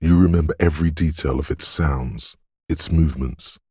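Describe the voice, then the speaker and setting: An eerie inner voice of intuition from a video game. It is extraordinarily low and thick with rasp. The delivery is cryptic and intimate, like dramatic narration addressed straight to the listener, captured close and dry. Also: male voice, normal speed, mellow, no room sound. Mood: cryptic, insistent. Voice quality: very deep, gravelly rasp. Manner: ominous, cryptic, theatrical, intimate.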